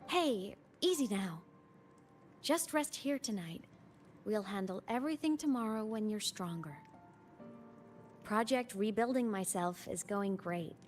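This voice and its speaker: Casting: sweet young game heroine played by an actress, unhurried, very expressive, affectionate and girlish, music behind. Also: mid-range voice, close to an everyday voice. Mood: reassuring. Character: gentle young woman, affectionate, playful and childlike at times. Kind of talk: video game voice acting.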